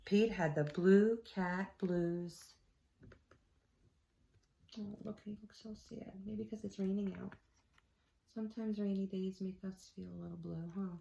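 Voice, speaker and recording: A woman teacher reading a storybook aloud to children, expressively, with quiet asides. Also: medium pitch, fairly even intonation, a little slow, gravelly, pauses often, a little hiss or hum. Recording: echoey room.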